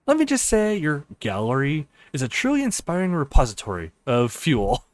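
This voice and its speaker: A man's voice: on the high side, wildly swooping pitch, moderate pace, no room sound. Man acting smug female hacker, visual novel game, verbose, mock-formal, swinging intonation. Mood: smug, teasing.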